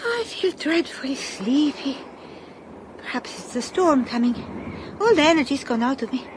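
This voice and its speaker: High-voiced spirited girl. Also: big pitch swings, moderate pace. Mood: weary, uneasy. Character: spirited young girl on the run, chatty. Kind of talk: British radio drama acting. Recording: clear outdoor ambience noise.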